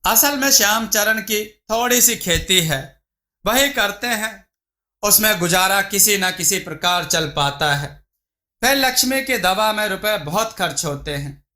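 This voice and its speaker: एक पुरुष, जो लिखी हुई नैतिक कहानी को भाव के साथ कथावाचक की तरह सुना रहा है।. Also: male voice, high voice, sharp tone. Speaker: storyteller. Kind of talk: scripted Hindi moral story narration.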